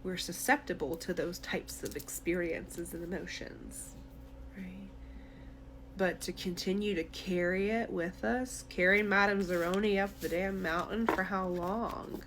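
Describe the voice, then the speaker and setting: Low-voiced woman giving a tarot reading. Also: steady tone, measured pace, noisy background. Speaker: tarot reader. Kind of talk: intuitive tarot reading addressed to the listener.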